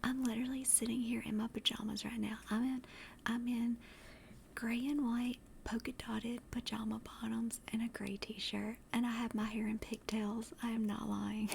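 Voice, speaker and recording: A woman chatting casually to livestream viewers in a soft, breathy ASMR whisper, gentle and rambling, close to the microphone. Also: little pitch movement, normal speed, slight background noise. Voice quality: soft, breathy whisper and hushed low-volume speech. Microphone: close directional microphone.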